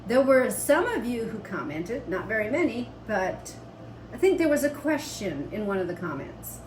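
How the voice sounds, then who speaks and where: A woman's voice: a little high, sing-song, moderate pace. An American woman co-hosting a homestead vlog, chatting casually and reading viewer comments. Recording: roomy, distant mic, background noise.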